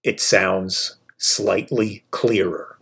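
A man narrating a scripted video about a video game, in short, measured phrases. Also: low voice, little pitch movement, gravelly, background noise.

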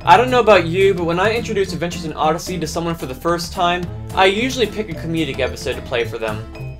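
A man in his twenties hosting a scripted countdown to camera in a small room at home. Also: on the high side. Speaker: host of a fan video countdown.

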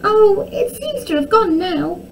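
A woman's voice: high-pitched, big pitch swings, unhurried, mellow, distant mic, slight background noise, voice pushed up, heavily acted, low-quality recording. A woman voicing a mischievous, bossy young princess in a children's storybook, brazen and sweet by turns. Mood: sweetly sly. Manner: shrieking false alarms, sweetly brazen.

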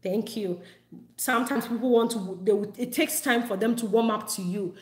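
A Ghanaian woman vlogging in a casual, animated way. She laughs often and repeats phrases for emphasis. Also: a little high, moderate pace. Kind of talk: casual talk to camera. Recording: echoey room.

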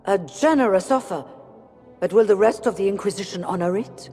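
Dignified, mellow-voiced elven mage leader. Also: female voice, medium pitch, fairly quick, slightly rough. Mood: guarded. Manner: formal, earnest. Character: dignified, beleaguered female elven leader of mages. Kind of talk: video game cutscene acting. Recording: long hall reverb, background music.

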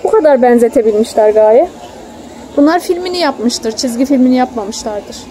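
A woman with a high, softly mellow voice talks casually to a child, explaining what is around them. She is recorded on a handheld phone outdoors, with faint ambient noise behind her. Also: expressive, normal speed, poor audio. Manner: explains and points things out. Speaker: mother filming a family outing. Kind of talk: casual vlog chat with a child. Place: outdoors in a theme park.

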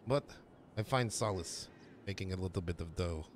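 A man's voice: low voice, normal speed, slight background noise, lightly acted. Bitter, menacing immigrant criminal played by a man.

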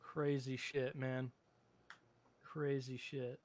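A man's voice: mid-range voice, little pitch movement, extremely slow. A man giving casual, speculative commentary as a co-host, with a wry, teasing manner. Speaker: reaction show co-host. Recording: clear background noise. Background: audio of the horror video being watched.

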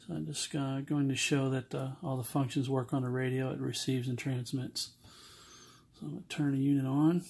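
A man giving an informal, halting, matter-of-fact product demonstration at an indoor workbench. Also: mid-range voice, little pitch movement, gravelly, breathy edge. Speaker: seller demonstrating a CB radio. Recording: loud radio static, noisy amateur recording. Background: CB radio receiver noise.

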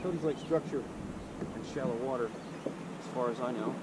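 Quiet, mumbling kayak fisherman outdoors. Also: mid-range voice, fairly quick. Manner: quiet, muttering, jokey. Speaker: fishing vlogger. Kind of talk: casual chat while kayak fishing. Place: kayak on open water, outdoors. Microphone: action camera on the kayak. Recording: wind and water noise outdoors.

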